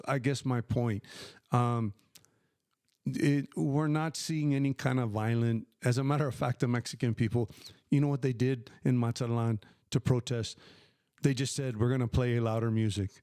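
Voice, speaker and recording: A man's voice: mid-range voice, darkish tone, dry recording. Older American man, retired streamer, clean resonant voice, dry close sound, chatty with lots of "um"s. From United States. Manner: conversational, many fillers, answers viewer questions by name. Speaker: live stream host. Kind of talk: casual live stream Q&A.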